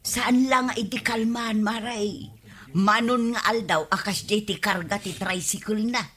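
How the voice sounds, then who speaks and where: An actress playing a gossipy, suspicious village neighbour with a husky voice and an Ilocano accent in a radio comedy. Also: female voice, mid-range voice, fairly even intonation, measured pace, a bit bright, lightly acted. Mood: knowing, suspicious. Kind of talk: broadcast radio comedy drama acting.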